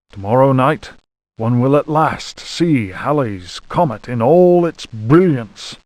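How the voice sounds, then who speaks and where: Horror game journal: aristocratic 1820s explorer, pompous, formal, fussy. Mid-range voice, dry studio sound. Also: male voice, mid-range voice, no room sound. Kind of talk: dramatic travel journal reading in a horror game.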